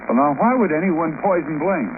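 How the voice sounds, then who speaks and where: An actor playing a defensive young tennis player in a radio drama, edgy and indignant, tripping over repeated words. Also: male voice, a little high, lively intonation, normal speed, close to an everyday voice. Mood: defensive, wary. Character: nervy, defensive young male tennis athlete. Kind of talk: 1950s radio detective acting. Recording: noisy, tinny old radio recording.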